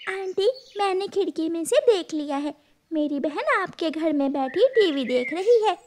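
A woman's voice: very high-pitched, lively intonation, unhurried, a little mellow, slight background noise, voice pushed up. A woman voicing a dutiful little girl bird in a cartoon story, earnest and pleading in manner. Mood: insistent.

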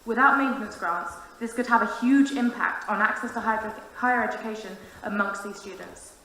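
A woman's voice: medium pitch, brisk, fairly bright tone. A woman in her twenties reading a prepared, formal, earnest speech to a council meeting as a student union president. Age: 20s. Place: large council meeting room. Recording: very echoey room, faint noise.

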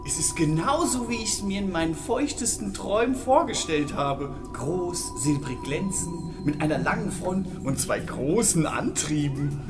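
A man's voice: fairly high pitch, expressive, unhurried, few pauses, music in the background. A man playing a nerdy, naively enthusiastic sci-fi film fan in an amateur audio drama. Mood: starry-eyed. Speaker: podcast co-host.

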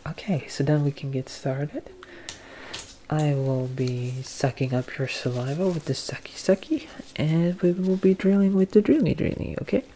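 A young man doing a soft-spoken, often whispered ASMR dentist roleplay, halting and gently reassuring, speaking close through a face mask. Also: fairly high pitch, slight background noise. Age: young adult. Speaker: ASMR performer playing a dentist. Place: small plain room indoors. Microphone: close to the mic, facing camera, through a face mask.

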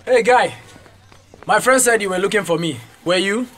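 Cocky high-voiced Nigerian campus bully. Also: male voice, normal speed, fairly bright tone. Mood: mocking. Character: cocky, taunting young male campus bully. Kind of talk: radio drama acting.

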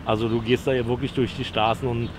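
Berlin man, quick talk, street noise. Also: medium pitch, little pitch movement. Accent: Berlin German. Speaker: interviewee. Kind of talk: casual documentary interview. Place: outdoors on a garden bench. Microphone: handheld microphone. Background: city ambience.

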